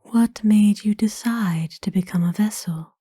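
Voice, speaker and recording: A woman voicing a kind, ancient aristocratic vampire lady in a whispered ASMR roleplay, speaking softly with formal, soothing, wistful phrasing. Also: medium pitch, unhurried, no echo, studio quality. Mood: gentle, sympathetic. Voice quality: soft, breathy whisper.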